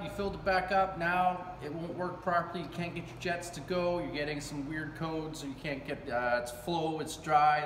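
A man with a slightly high voice explains a repair step by step in plain, folksy language. He often checks in with the listener as he goes. The recording rings like a big warehouse, with clear noise behind him. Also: echoey room, long reverb tail. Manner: folksy, colloquial, checking in with "okay?". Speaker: hot tub repair technician presenting a how-to. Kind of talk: casual instructional demonstration. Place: large indoor warehouse with brick walls.

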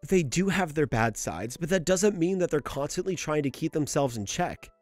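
Guy doing video essay voiceover, really expressive pitch, dry close sound, chatty opinionated asides. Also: moderate pace, dry recording. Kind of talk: scripted video essay narration. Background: background music.

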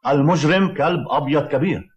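A man voicing a stern, methodical village policeman in a dubbed cartoon, official and deliberate. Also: on the high side, muffled recording, close to an everyday voice.

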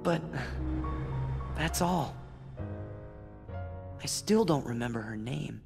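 A man's voice: medium pitch, expressive, slow pace, vocal fry, long reverb tail, music in the background. A young man with an American accent playing an earnest, wistful teenage boy hero in a video game. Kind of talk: video game cutscene acting.

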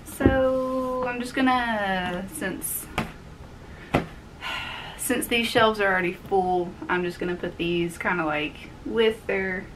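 A young woman with a light Southern American lilt chats away, upbeat and a little scattered, as she tidies her small office. She is picked up by the camera mic as she moves around the room, with a faint hum in the background. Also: medium pitch. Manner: chatty, upbeat, thinks aloud while tidying. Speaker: vlogger. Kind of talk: casual cleaning and haul vlog. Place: small home office, indoors.

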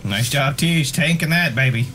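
A man in his thirties giving casual, joking, excitable commentary on a video game, with plenty of swearing. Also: medium pitch, normal speed, a little hiss or hum. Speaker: gaming co-host, commentator.

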